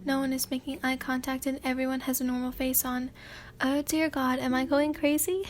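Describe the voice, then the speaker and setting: A woman hosting a sleepy ASMR channel, reading fan fiction aloud in a soft, hesitant voice with many fillers and throat clears. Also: sits high, flat delivery, normal speed, soft background music.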